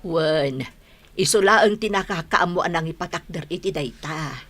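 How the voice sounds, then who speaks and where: An older Filipino mother in a radio drama. Her voice sits on the low side as she encourages her children and scolds wrongdoing, caring throughout. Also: moderate pace, fairly bright tone. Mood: gently reproving. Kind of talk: Ilocano radio drama acting.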